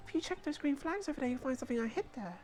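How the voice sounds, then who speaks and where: A woman's voice: high voice, animated, racing, darkish tone, a little hiss or hum, pitched above the performer's own voice. A woman voicing a bossy, cocky little child who guards a gang's territory in a video game. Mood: bossy, proud.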